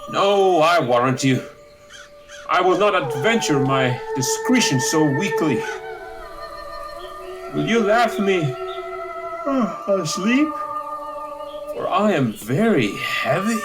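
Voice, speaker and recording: An actor playing a kindly, long-winded old courtier, declaiming earnestly in a Shakespearean audio drama. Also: male voice, sits high, sing-song, music in the background, full character voice, rough recording. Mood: wry, dignified. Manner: earnest, declamatory, deliberate listing.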